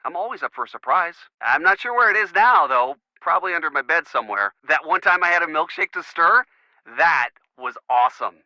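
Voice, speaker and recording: Muffled phone line, dorky sweet young boyfriend in a game, really bright voice, bouncy pitch, awkward and jokey. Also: male voice, animated, fairly quick, no room sound. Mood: affable, joking. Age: young adult. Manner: awkward, casual, joking. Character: goofy, affectionate young male college boyfriend. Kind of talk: video game character dialogue over a phone. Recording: muffled, band-limited phone call sound.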